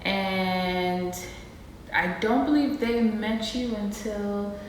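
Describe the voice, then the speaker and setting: A woman in her thirties co-hosting a casual couples Q&A vlog, animated and quick to exclaim. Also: mid-range voice. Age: 30s. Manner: animated, laughing, dramatic exclamations. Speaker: vlogger and co-host. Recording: roomy echo with faint noise.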